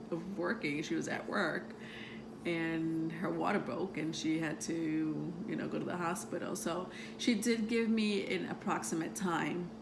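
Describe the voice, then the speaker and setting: A woman with a soothing voice, who grew up outside the United States, talking about astrology to her viewers in a meandering way full of ums and soft laughs. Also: low-pitched, vocal fry, noisy background. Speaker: tarot reader and astrology vlogger. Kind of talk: casual rambling talk to camera.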